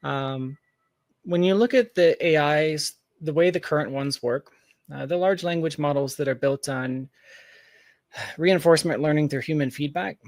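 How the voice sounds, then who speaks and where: A man's voice: on the high side, moderate pace, no echo, studio quality. A man speaking as an interview guest in a casual, speculative discussion, reasoning aloud with hedges and long asides. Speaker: podcast guest, tech founder.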